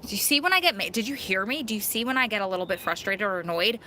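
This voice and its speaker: Bright-voiced New York woman chatting live. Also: medium pitch, animated, fast, slight background noise. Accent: New York and New Jersey. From Long Island, New York. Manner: chatty, laughs often, self-mocking. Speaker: vlogger hosting a live Q&A. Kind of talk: casual live-stream chat reading comments.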